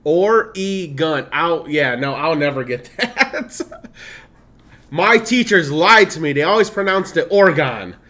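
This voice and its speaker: Male streamer, 30s, blended Midwestern/Southern/Californian accent, slightly high pitch, chatty and laughing. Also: expressive, a little slow, bright, forceful tone. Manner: chatty, laughs often, reads game text aloud. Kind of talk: casual gameplay stream commentary.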